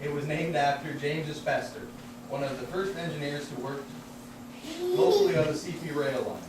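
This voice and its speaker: A man's voice: a little high, sing-song, moderate pace. A man playing an earnest puppet host in a scripted children's show, teaching town history and putting questions to the kids. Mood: cheerful, teacherly. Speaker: puppeteer, presenter. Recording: very echoey, distant, noisy room.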